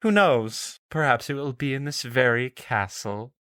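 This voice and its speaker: A pompous nobleman of a fantasy audio drama, acted by a man, talks in a florid, affected way. The sound is dry, and the pitch sits in an ordinary male range. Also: lively intonation, unhurried, fairly bright tone, clear, resonant tone, no room sound. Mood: breezy, haughty. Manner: haughty, affected, florid speech.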